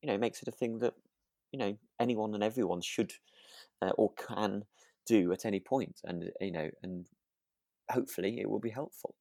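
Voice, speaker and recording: A man's voice: fairly low pitch, normal speed, gravelly, dark tone, dry recording, clean studio sound. A man co-hosting a podcast in casual chat, effusive and often repeating himself as he searches for phrasing. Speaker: podcast co-host. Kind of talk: casual chat about a radio drama.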